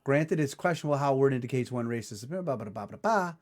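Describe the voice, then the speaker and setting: A man in his forties hosting a casual comedic podcast chat, telling stories animatedly and doing quick voices. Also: medium pitch. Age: 40s. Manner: animated storyteller, puts on voices. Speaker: podcast co-host.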